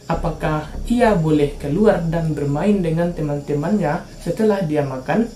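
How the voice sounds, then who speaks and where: A woman's voice: deep voice, warm tone, echoey room, a little hiss or hum. A woman from Indonesia telling true-crime stories for her channel in a casual, slangy narrating style.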